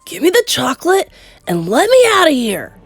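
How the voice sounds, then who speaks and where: A greedy, brash young boy, put on by a woman in a storybook reading, with loud, whiny demands. His voice rides high and lively. Faint music plays underneath. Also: a little slow, gravelly, slightly rough, no room sound, heavily acted. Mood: demanding.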